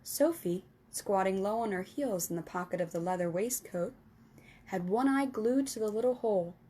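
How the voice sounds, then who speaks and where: A woman's voice: mid-range voice, lively intonation, normal speed, mellow, slightly rough. Woman reading a children's story aloud. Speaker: storytime reader.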